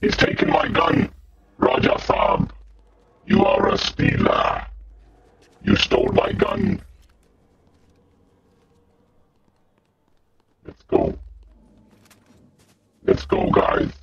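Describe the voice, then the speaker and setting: A man voicing a slow, guttural demon who calmly bosses others about while commentating on a video game, through a deep voice filter. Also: heavily acted. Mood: bossy, deadpan. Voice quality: guttural, growling, gravelly. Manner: slow, drawn-out, deliberate short phrases. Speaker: gaming channel host. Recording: muffled lo-fi audio, faint noise.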